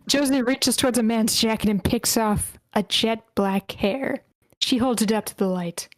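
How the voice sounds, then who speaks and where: A woman's voice: fairly high pitch, moderate pace, no room sound, rough recording. A woman reading stage directions aloud as the narrator at a screenplay table read, in short clipped phrases.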